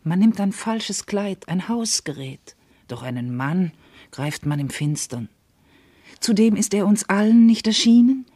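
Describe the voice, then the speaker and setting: Reassuring servant confidante, dark mellow voice, husky, measured verse delivery, radio drama. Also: female voice, medium pitch, lively intonation, no room sound. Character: loyal female confidante soothing her princess, sensible, reassuring. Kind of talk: classical German verse radio play acting.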